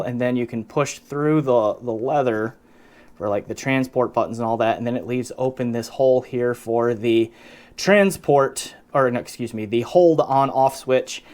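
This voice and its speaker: A man with a mid-pitched voice talks through a hands-on product demonstration. He is conversational and unscripted, working out his points aloud and correcting himself along the way. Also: darkish tone. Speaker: audio gear reviewer, channel host.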